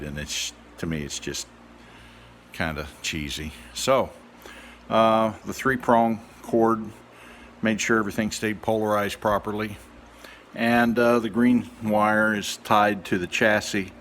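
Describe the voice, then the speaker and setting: A man giving a casual, unscripted demonstration as a hobbyist restorer, speaking haltingly with many pauses. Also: deep voice, a bit bright, slight background noise. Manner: halting, hesitant, with trailing pauses.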